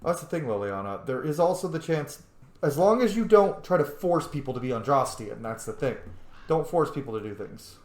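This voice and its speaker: A man's voice: fairly high pitch, big pitch swings, brisk, echoey room. A man giving informal roleplay commentary over a video game, musing aloud about his character's choices. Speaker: gaming host, roleplayer.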